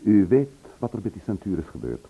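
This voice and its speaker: A man's voice: on the low side, big pitch swings, brisk, breathy edge, phone-quality sound, heavily acted. An actor playing a troubled, insistent man in a radio play, questioning with emphasis. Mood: probing, insistent. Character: troubled, insistent man questioned about his murdered brother.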